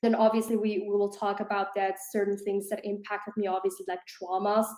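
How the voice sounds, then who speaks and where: A woman's voice: mid-range voice, level tone, fairly quick, a little mellow, roomy sound. A woman in her thirties, raised in Switzerland, telling her life story earnestly as an interview guest. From South Korea, raised in Switzerland. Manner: earnest, fluent with many "like" fillers. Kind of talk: conversational personal story.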